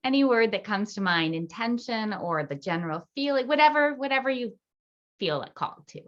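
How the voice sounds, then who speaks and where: A woman hosting an online group circle as an intuitive guide, speaking softly and hesitantly with many ums. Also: a little high, lively intonation, fairly quick, highs cut off. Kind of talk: gentle group circle facilitation. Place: online video call.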